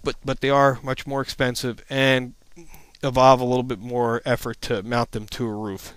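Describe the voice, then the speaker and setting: A man's voice: mid-range voice, flat delivery, moderate pace, a bit bright, clear, resonant tone, no echo, low-quality recording. A man narrating an informal slide presentation as an instructor, explaining things in a plain-spoken, matter-of-fact way.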